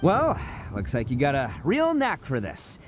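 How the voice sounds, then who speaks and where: Brief: cocky oni fighter for a game, boisterous and goofy, huge pitch swings. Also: male voice, unhurried, very noisy. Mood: boastful. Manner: boisterous, laughing, animated. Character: brash boastful oni brawler, loud and goofy. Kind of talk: video game voice acting. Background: game music.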